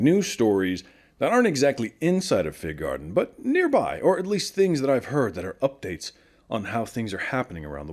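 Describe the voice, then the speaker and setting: A man plays a folksy morning radio host broadcasting from a small town in the wasteland. He chats straight to his listeners with a lively, swooping delivery, over faint music. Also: mid-range voice. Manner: conversational, addresses listeners directly. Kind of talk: scripted radio show monologue, folksy.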